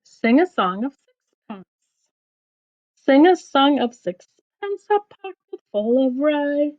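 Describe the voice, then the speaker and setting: A woman reading rhymes aloud to children like a teacher, in an expressive, deliberate, sing-song storytelling delivery, with dull lo-fi sound. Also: high voice.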